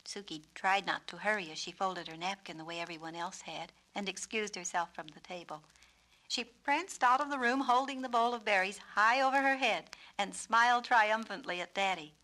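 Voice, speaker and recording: A woman narrating a children's storybook on a 1970s record, with expressive, deliberate phrasing. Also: medium pitch, low-quality recording. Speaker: author and narrator.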